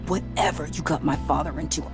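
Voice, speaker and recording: An actress playing a grieving, accusing daughter in a video game, intense and emotional, fiercely determined. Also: female voice, low voice, fast talker, gravelly, music in the background. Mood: bitter, defiant. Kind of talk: dramatic video game acting.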